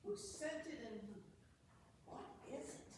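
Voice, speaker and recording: A woman with a high voice delivers Shakespearean lines in a staged reading. Her pace is unhurried, in the manner of an amateur stage performance. The room rings heavily with echo around her. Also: sing-song. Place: indoor performance room, recorded from afar. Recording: echoey room, noisy, distant microphone.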